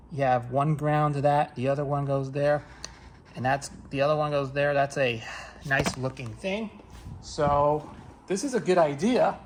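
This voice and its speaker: A man giving a casual, unscripted how-to narration as he works on a repair, halting and hesitant as he thinks aloud. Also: fairly high pitch, slight background noise. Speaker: hobbyist repairer presenting own video.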